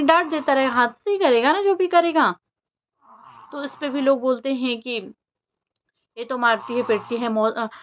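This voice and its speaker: A woman vlogger giving casual, opinionated commentary in an emphatic, argumentative way. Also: fairly high pitch. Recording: dull lo-fi audio with faint noise.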